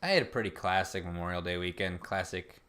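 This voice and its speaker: A man's voice: sits low, brisk, fairly bright tone. A man in his twenties chatting casually as a podcast co-host, laid-back and self-deprecating. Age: 20s. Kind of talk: casual chat.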